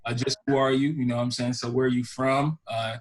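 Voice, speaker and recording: A man's voice: mid-range voice, level tone, a little fast, low-quality recording. A young man hosting a podcast, chatting casually and rambling with lots of fillers. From Houston, Texas. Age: young adult. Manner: rambling, full of fillers like "you know". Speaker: podcast host. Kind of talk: casual chat.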